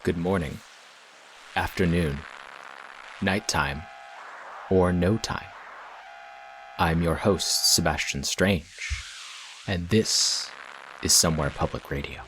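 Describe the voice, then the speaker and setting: A man playing a strange, solemn host of a small-town public radio show, speaking in an eerie, measured style. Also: low voice, a bit bright, rough recording. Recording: music behind the voice.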